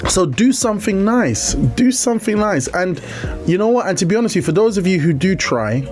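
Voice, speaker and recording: A man's voice: high voice, very animated, a little fast, a little mellow, soft background music. Rambling man reading viewer comments. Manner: rambling, repeats words, talks to viewers. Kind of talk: casual faith-based relationship talk.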